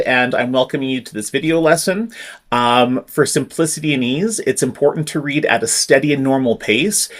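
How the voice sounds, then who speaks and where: A man presenting a step-by-step software tutorial, explaining each click in a clear, instructive way. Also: mid-range voice. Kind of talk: screen-recorded software tutorial.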